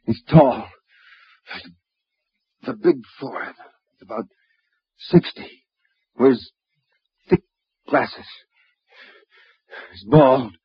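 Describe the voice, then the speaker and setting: An actor playing a trapped, defiant but rattled private investigator in a 1950s radio drama, halting and agitated. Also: male voice, mid-range voice, breathy edge, dry recording, full character voice. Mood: frightened, pleading. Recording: muffled vintage radio recording.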